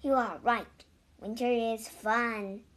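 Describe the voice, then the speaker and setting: Woman playing a bright-spirited, high-voiced frog. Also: fairly even intonation, takes it slowly. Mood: cheerful, coaxing. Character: cheerful, reassuring, bossy male frog best friend. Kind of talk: children's storybook reading.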